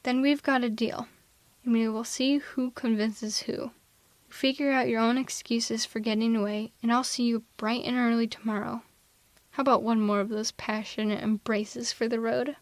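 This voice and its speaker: A woman playing a haughty, sardonic telepathic villain in an ASMR roleplay audio drama, theatrical and quick to justify herself. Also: a little high, flat delivery, no echo, lightly acted. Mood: wry, softening. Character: female telepathic villain, haughty, misanthropic, secretly soft-hearted. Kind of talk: ASMR roleplay audio drama, close one-sided dialogue.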